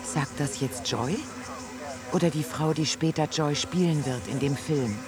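A woman's voice: deep voice, measured pace, over music. An actress playing a film-crew woman who narrates and questions scenes in a radio play. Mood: questioning, directing.

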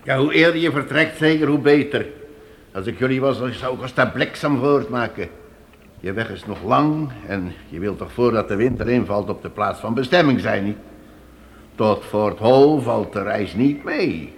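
An actor playing a practical, plain-speaking fort trader in a radio play, giving matter-of-fact advice. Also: male voice, medium pitch, moderate pace, natural voice. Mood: grave advice. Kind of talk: Dutch radio play acting. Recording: noisy old radio play recording.

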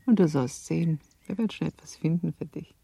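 A woman's voice: low-pitched, brisk, gravelly, mellow, breathy edge, no room sound, heavily acted. A woman acting a comforting but firm older mother in a radio play, soothing and insistent. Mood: consoling, hopeful.

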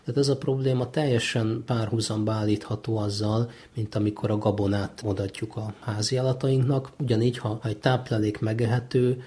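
A man's voice: lowish voice, little pitch movement, few pauses, warm tone, husky. A man giving explanatory answers as an interviewed expert.

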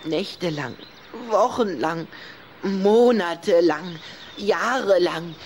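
A woman's voice: on the low side, takes it slowly, a little mellow, a little hiss or hum. A woman playing a proud, touchy, lovesick young boy vampire in a theatrical way for a children's radio play.

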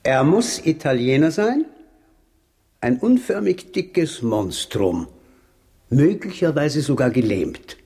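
An actor playing an obsessed, self-assured engineer and war veteran in a radio play, talking in deliberate, dramatic, insistent phrases. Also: male voice, on the high side, big pitch swings, warm tone, husky, heavily acted. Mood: urgent, convinced.

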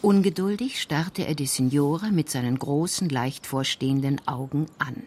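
A woman's voice: deep voice, moderate pace, a little mellow, a little hiss or hum. A woman narrator reading scripted crime-story narration for a radio drama.

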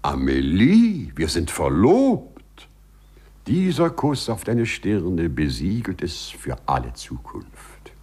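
Smitten gentleman, courtly and hesitant, low voice, wide melodic swings, 1950s radio play. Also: wildly swooping pitch, slow, breathy edge, exaggerated voice. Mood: tender, courtly. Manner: formal, courtly, hesitant phrasing. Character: lovestruck, gentlemanly man who walks through walls. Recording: 1950s radio recording, loud music behind.

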